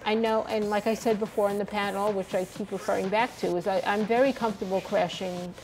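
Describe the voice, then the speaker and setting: A woman's voice: mid-range voice, fairly even intonation, fairly quick, a little mellow, slight background noise, poor audio. A woman in her sixties, an author talking as a guest in an interview, earnest and emphatic. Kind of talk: conversational interview.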